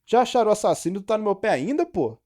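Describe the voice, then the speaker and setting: A man acts as a matter-of-fact ship's cook in an animated mystery. He speaks fast and frankly in a close, dry sound, loyal and protective of his friend. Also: sits high, no room sound. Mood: annoyed. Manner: frank, explanatory.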